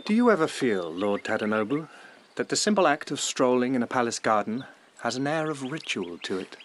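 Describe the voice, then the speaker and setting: A man's voice: mid-range voice, very animated, fairly quick, a little hiss or hum, natural voice. A man acting a young, provocative palace lord in a radio drama, musing and insinuating in formal phrases. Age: young adult. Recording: faint outdoor ambience.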